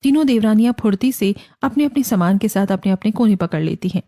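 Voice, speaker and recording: A woman with an Indian accent reads scripted narration for an animated family drama. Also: mid-range voice, fast, no room sound, studio quality. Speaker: story narrator.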